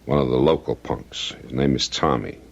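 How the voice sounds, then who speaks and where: An actor playing a weary, stubborn middle-aged policeman and husband in an old-time radio drama, plain-spoken, gravelly and husky. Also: a bass voice, normal speed, heavily acted. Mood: weary, brooding. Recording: dull, lo-fi radio recording.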